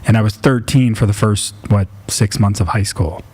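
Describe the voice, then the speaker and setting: Male podcast host, late 30s, lowish pitch, informal and self-deprecating storyteller. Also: normal speed, darkish tone, breathy edge. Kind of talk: casual chat, telling personal stories.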